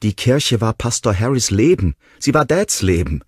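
Ein Mann spricht eine junge Frau, verletzt und wütend, mit schrillen, flehenden Ausbrüchen. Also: male voice, sing-song, normal speed, slightly rough, no room sound, clean studio sound, exaggerated voice. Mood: upset. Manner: shrill, pleading outbursts. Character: young woman, hurt and furious.

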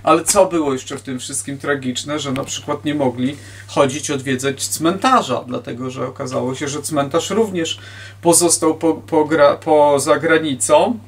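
A man in his fifties giving an informal history lecture to camera in a halting manner, often restarting his phrases. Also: fairly high pitch, a little hiss or hum. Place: book-lined room at home, indoors. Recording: distant microphone in a room.

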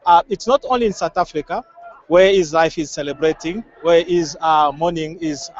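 A man from the DR Congo with a Congolese accent, giving earnest, halting answers in an outdoor street interview. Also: fairly high pitch. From Democratic Republic of the Congo. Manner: earnest, hesitant, halting phrasing. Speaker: member of the public being interviewed. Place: outdoors at a crowded street gathering. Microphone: handheld interviewer's microphone. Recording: muffled, band-limited outdoor field audio.

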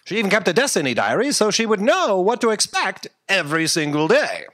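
Man acting a high, hugely animated space detective. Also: brisk, exaggerated voice. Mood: urgent, determined. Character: intrepid male space detective, brash ex-agent hero. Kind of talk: staged audio drama comedy. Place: on stage in a theatre. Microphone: stand microphone. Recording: live theatre stage with long hall reverb.

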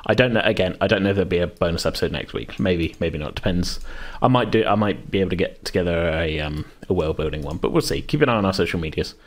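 A man with a low voice hosts an informal podcast. He talks off the cuff, often circling round a phrase before settling on it. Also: fast talker, hardly any pauses. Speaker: podcast host and game master. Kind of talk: casual unscripted podcast chat.

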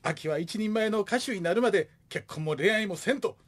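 A middle-aged man with a Kansai accent playing a gruff, joking widowed father in a comic radio drama. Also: sits high, normal speed. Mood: stern.

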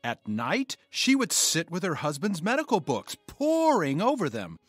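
A man's voice: fairly high pitch, wildly swooping pitch, measured pace, dry recording, clean studio sound, full character voice. An actor playing an admiring village healer's assistant, earnestly telling her story in an audio drama.

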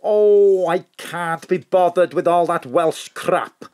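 Slow, exasperated, befuddled official. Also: female voice, on the low side, darkish tone. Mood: weary, irritable. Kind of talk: audio drama dialogue.

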